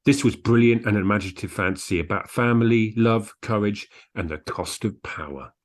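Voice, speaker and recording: A man's voice: on the low side, a little fast. A man co-hosting a book podcast, giving blunt opinions in casual discussion. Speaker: book review podcast co-host. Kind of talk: casual book discussion, sometimes reading reviews aloud.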